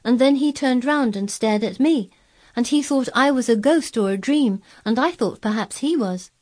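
A woman's voice: on the high side, normal speed, a little mellow, lightly acted. A woman voicing a stubborn, self-possessed young girl who speaks firmly and plainly in a storybook reading. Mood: earnest, curious. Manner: firm, plain-spoken, earnest.

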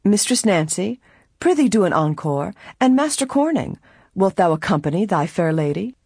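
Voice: medium pitch, big pitch swings, normal speed, vocal fry, darkish tone, husky, dry recording, studio quality, voice pushed up, heavily acted. A narrator voicing a playful aunt who acts a mock-formal colonial lady in an audiobook. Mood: playfully grand.

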